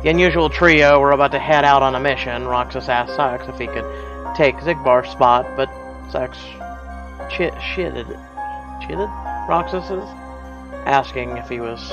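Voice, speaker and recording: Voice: medium pitch, measured pace, music in the background, rough recording. A casual commentator reacting to game cutscenes in a blunt, irreverent, sweary way.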